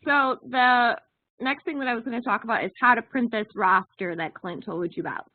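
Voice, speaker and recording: A woman's voice: a little high, brisk, no echo. A woman co-hosting a conference call, giving an informal, informational briefing over the telephone. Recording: narrow, tinny phone conference line.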